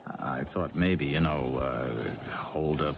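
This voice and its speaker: An actor playing an uneasy, reluctant ex-convict hired killer in a 1970s radio drama, casual and hesitant. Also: male voice, extremely low pitch, slow, full character voice. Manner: casual, hesitant, plain-spoken. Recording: muffled, noisy old radio recording.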